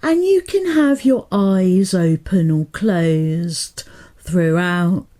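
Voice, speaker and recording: Woman doing guided hypnotherapy, low mellow voice, soothing n deliberate, lots of pauses. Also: expressive. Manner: soothing, slow, deliberate pauses between short phrases. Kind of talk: guided meditation hypnotherapy narration.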